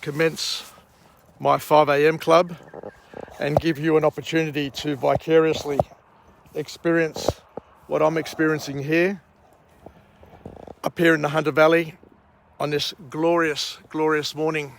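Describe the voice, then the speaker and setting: A middle-aged Australian man with a croaky voice after a night out, hosting a rambling outdoor morning vlog and book summary at a vineyard. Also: fairly high pitch, level tone, background noise. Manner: slow, rambling, frequent uh and um. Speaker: vlog host.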